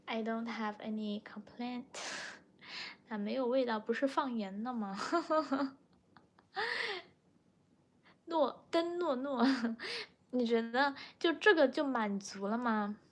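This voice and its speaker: A woman's voice: fairly high pitch, lively intonation, takes it slowly, long pauses. A young woman from China, a native Mandarin speaker with a Chinese accent, chatting casually as a livestream host and replying to viewers. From Chongqing, China. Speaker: livestream host, language teacher.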